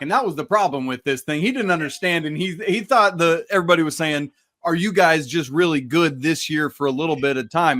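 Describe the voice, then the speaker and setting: Argumentative guy co-hosting a sports pod, slightly high voice. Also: a bit bright. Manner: emphatic, argumentative. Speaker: podcast co-host. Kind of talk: casual sports debate.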